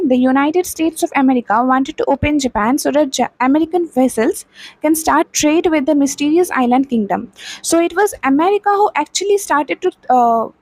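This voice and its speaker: A young woman with an Indian English accent explains a history lesson in a high, clear voice. She goes over each point again and again with lots of "okay" and "uh." The sound is dry and close, with no room echo. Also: darkish tone. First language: not Hindi. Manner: explains plainly, repeats key points with frequent "okay" and "uh". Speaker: teacher. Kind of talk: explaining a textbook chapter.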